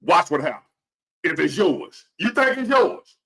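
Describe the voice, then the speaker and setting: High-voiced, animated man in his fifties. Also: a little slow, husky. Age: 50s. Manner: earnest, preachy, repeats key phrases, swings between loud and hushed. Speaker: motivational vlogger. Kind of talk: motivational talk to viewers.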